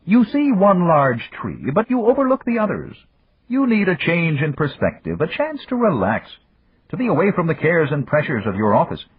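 A man doing a round, unhurried old business magnate in a storybook reading, his voice lifted well above the reader's own and sweeping through courteous, lecturing phrases. The tone stays husky, and the sound is thin and phone-like. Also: on the high side, wildly swooping pitch, pitched above the performer's own voice, heavily acted. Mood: measured, amiable. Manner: measured, courteous, gently lecturing. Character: older Japanese male industrialist, round, calm, philosophical. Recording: tinny, phone-like lo-fi.

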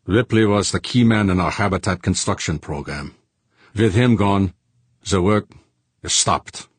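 A man acts out a dogged audiobook character, a formal businessman who will not let go. The voice is low and husky, deliberate and insistent, in a very dry recording. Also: no echo.

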